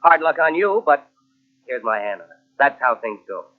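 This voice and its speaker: An actor playing a ruthless, slippery crook in a 1940s radio crime drama, curt and sly. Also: male voice, medium pitch, very animated, normal speed, close to an everyday voice. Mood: falsely friendly. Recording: narrow, tinny old radio recording.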